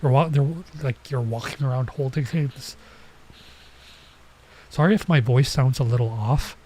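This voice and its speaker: A man's voice: mid-range voice, unhurried, a little mellow. A man playing a visual novel and chatting casually, his voice nasal and stuffed up as he comes down with a cold. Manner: rambling, chatty asides. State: coming down with a cold. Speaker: streamer. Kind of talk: casual let's play commentary.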